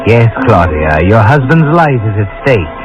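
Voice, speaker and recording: A man's voice: fairly low pitch, very animated, moderate pace. Through a dull vintage radio recording with music behind comes a sardonic, all-seeing suspense narrator. He speaks straight to "you" in an insinuating, goading way, like a conscience turned accomplice in a 1940s thriller. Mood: ominous. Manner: taunting, insinuating, addressing the listener as "you". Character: sardonic unseen narrator goading a guilty young wife.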